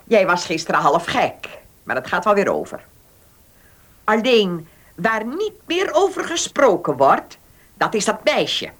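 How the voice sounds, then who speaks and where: A woman's voice: mid-range voice, big pitch swings, measured pace. A woman playing a domineering, bitter old aunt in a Dutch radio play, scornful and commanding in the way she talks. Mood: stern, commanding. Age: older.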